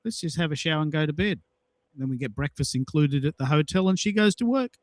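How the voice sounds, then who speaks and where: A man's voice: fairly high pitch, animated, quick pace, no room sound. A man hosting a casual audio chat, telling personal anecdotes in an emphatic way and repeating himself for effect. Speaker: host of a social audio show.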